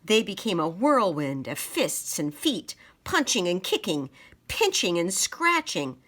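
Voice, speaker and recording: A woman reading a children's picture book aloud in a dramatic, deliberate storytelling manner. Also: fairly low pitch, big pitch swings, a bit bright.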